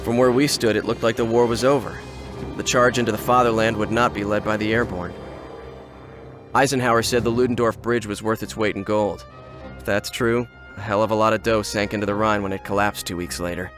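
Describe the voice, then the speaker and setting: A man voicing a war-worn American paratrooper, recalling the fighting in a plainspoken, wry voice, narrating a game cutscene. His voice sits low, with loud music under it. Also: fairly even intonation, brisk, lightly acted. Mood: reflective. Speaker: soldier narrator.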